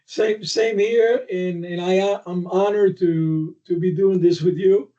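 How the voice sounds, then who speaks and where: An older man speaks in a fairly high but mellow voice, pausing often on fillers. He sounds distant from the mic, and the audio comes through dull and lo-fi. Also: fairly even intonation. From Cuba. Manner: halting, many fillers. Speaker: podcast guest. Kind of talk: conversational podcast discussion.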